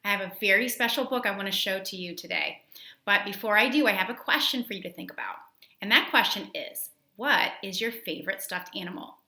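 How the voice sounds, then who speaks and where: Female school librarian for a children's read-aloud, mid-pitched voice, expressive and carefully phrased. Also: fairly quick, slightly rough.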